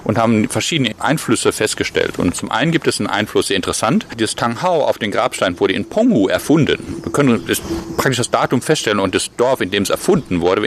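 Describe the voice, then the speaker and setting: A man's voice: on the low side, a little hiss or hum. A man, a professor, explaining his research in an interview, lecturing steadily and thinking aloud with fillers and restarts, recorded outdoors.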